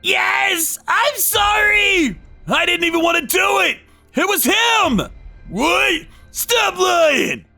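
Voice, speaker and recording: Man as a young male thug for manga dub: very high, screechy, panicked shouting over music. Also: animated, slow, vocal fry, exaggerated voice. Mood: pleading, accusing. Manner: frantic shouting and whining. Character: panicked young male thugs, screaming and threatening.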